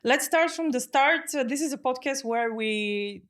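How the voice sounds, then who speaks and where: Woman host, middle-aged; warm-tempered interviewer with easy laughs, close stand mic in a homey room. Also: sits high, measured pace. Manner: friendly, laughing, probing questions. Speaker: podcast host. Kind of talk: podcast interviewing. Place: living room studio with plants and books. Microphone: studio mic on stand.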